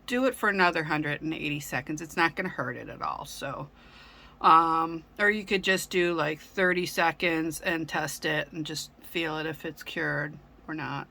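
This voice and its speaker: A woman hosting a casual craft tutorial, speaking gently with frequent ums, her voice a little raspy from a sore throat. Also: fairly low pitch. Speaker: craft channel host.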